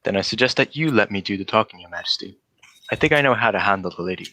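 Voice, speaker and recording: An actor playing a shrewd, confident gentleman detective in old-style radio drama, politely explaining himself in an assured manner. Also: on the low side, lively intonation, fast, a little hiss or hum. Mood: courteous. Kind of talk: 1940s radio drama acting.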